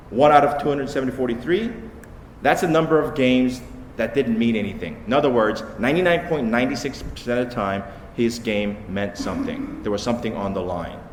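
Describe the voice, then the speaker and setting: A man's voice: medium pitch, animated, a little fast. A man giving a short conference talk, punchy and emphatic, checking in with the audience with "okay?". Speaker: conference speaker. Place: large conference hall. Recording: echoey hall with light noise.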